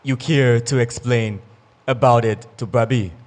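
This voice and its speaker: A man doing the slow solemn house voice. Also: fairly even intonation, a little mellow, lightly acted. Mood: probing. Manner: slow, deep, commanding questions. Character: unseen male reality-show house voice, solemn.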